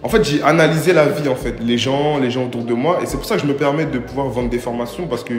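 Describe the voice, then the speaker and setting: A man's voice: mid-range voice, fast. A man in his twenties from Paris, answering interview questions casually with slang and many hesitant fillers. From Paris, France. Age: 20s. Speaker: interviewee, video creator. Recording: echoey room with music behind.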